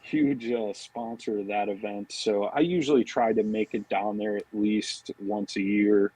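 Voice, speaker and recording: A man chatting casually as a podcast guest, talking in a loose, halting way. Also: lowish voice, lively intonation, warm tone, slightly rough, rough recording. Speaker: podcast guest, fishing brand marketing director. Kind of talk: casual chat.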